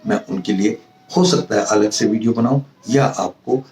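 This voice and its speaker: A man with an Indian accent giving an informal lesson to viewers as a professor, in a halting way that repeats phrases for emphasis. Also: on the low side, darkish tone. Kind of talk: informal instructional monologue to viewers. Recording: roomy echo, distant mic, music behind.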